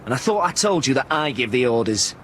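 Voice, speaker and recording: A man's voice: mid-range voice, big pitch swings, fast talker, a bit bright, slight background noise. A man playing an impatient, aggressive, bullying drug dealer in a radio drama. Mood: threatening. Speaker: actor in a radio crime drama.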